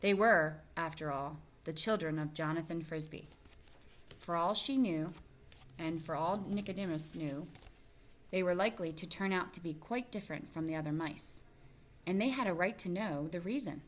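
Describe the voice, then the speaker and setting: A woman reading a children's novel aloud in an expressive storytelling style. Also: on the low side, moderate pace. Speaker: guest reader of a children's novel.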